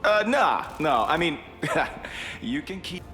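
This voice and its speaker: An actor voicing a cocky, goofy, boyish space outlaw hero who wheedles and blusters casually in a video game. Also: male voice, on the high side, sing-song, takes it slowly, bright, forceful tone, heavily acted. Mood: flustered. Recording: long reverb, music behind.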